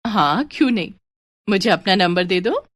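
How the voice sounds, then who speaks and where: An earnest young college-age daughter, played by a woman narrator, dutiful and eager to reassure. Her voice sits a little above an ordinary speaking pitch in a very dry, close storybook recording. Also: lively intonation, moderate pace, fairly bright tone, no echo. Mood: obliging.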